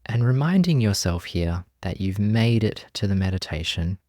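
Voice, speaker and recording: A man leading a guided meditation as a teacher, speaking gently and leaving long pauses between phrases. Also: on the low side, expressive, dry recording, studio quality.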